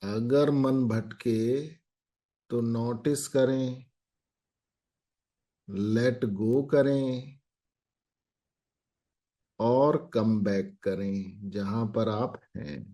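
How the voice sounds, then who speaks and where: A man leads a guided meditation in a calm, medium-pitched voice. His delivery is extremely slow. He gives brief instructions, leaving long pauses between phrases.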